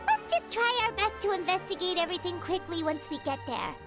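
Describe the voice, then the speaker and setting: A woman voicing a tiny, excitable, childlike fairy companion in a video game, with a dramatic, whiny manner. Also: very high-pitched, normal speed, over loud music. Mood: glum, resigned. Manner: speaks of self in third person, dramatic, whiny. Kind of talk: video game character acting.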